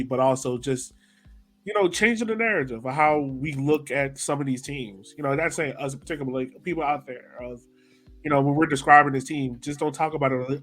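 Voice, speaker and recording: A man co-hosting a podcast, talking in a relaxed, earnest way with lots of "like" and "you know". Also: mid-range voice. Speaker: podcast co-host. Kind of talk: casual podcast chat.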